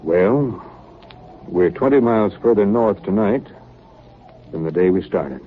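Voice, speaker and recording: A grave, steadfast expedition captain in 1930s radio style. His voice sits very deep and he speaks with measured authority. The old broadcast recording is boxy and noticeably hissy. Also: expressive. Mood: grave, matter-of-fact. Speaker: radio actor. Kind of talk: 1930s radio drama acting. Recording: muffled, noisy 1938 radio broadcast recording.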